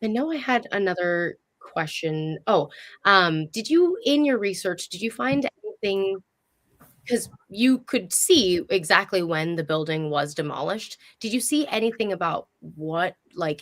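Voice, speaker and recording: A woman's voice: on the low side, fairly bright tone. A woman hosting a conversational interview, speaking in an appreciative, encouraging way. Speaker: host.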